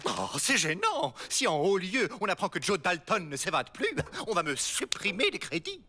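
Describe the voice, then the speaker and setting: A man playing a fussy, self-important middle-aged prison director in an animated cartoon, pompous and theatrical. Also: high voice, normal speed, noisy background, heavily acted. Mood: worried.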